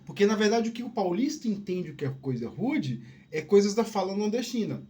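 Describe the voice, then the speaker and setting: A man from Northeast Brazil with a softened Northeastern Brazilian accent, talking in a rambling casual solo monologue full of restarts and tag questions. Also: high-pitched, sing-song, a little fast. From Northeast Brazil (Piauí family). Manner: rambling, many restarts and "né?" tags. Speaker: podcast host.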